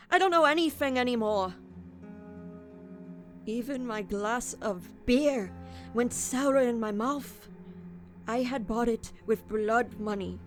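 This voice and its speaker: A woman playing a guilt-ridden, tormented young thief in a radio drama, acting scenes and narrating in the first person. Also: fairly high pitch. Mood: agitated. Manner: tormented, brooding, deliberate.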